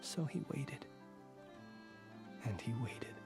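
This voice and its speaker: Male, composed, courtly game soldier with quiet menace, formal measured delivery, mid pitch, soft music underneath. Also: animated. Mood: somber. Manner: formal, measured, deliberate phrasing. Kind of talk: scripted video game voice acting.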